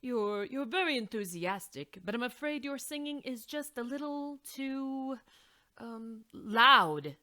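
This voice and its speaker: A woman's voice: lively intonation, slow pace, pushed and bright, heavily acted. A woman reading aloud and voicing a pompous, theatrical male mouse choir master in a storybook. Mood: apologetic. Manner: booming, theatrical.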